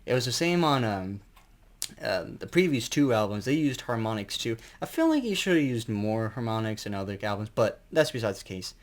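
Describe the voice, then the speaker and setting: A man's voice: fairly low pitch, very animated, normal speed. A man giving a casual, unscripted album review as an enthusiastic fan, rambling and colloquial, with some mild swearing.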